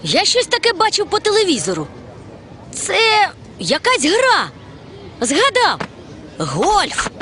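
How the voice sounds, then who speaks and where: A woman voicing a lively, cocky young boy fruit character in a cartoon, boastful and bossy. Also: extremely high pitch, slow pace, long pauses, a faint crowd, slight background noise, exaggerated voice. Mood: eager. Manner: boastful, bossy know-it-all. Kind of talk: animated cartoon dialogue. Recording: loud music behind.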